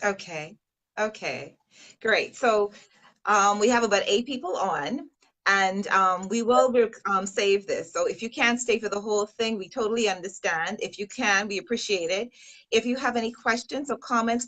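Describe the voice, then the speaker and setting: A woman's voice: mid-range voice, a bit bright, rough recording. Woman hosting a live video interview. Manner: conversational, guides the talk with questions. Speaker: host of a live stream. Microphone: video call.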